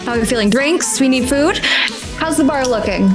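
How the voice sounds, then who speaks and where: A woman playing a polished, controlling female theme park boss with a brisk, showy hostess manner in a tabletop game. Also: high voice, animated, music in the background, voice pushed up.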